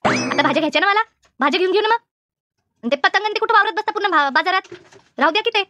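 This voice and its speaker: A woman voicing a practical, chiding village woman in a comedy cartoon, brisk and no-nonsense. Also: extremely high pitch, expressive, sharp tone, slight background noise, full character voice. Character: practical, scolding village woman, a concerned friend. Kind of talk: animated comedy cartoon dialogue.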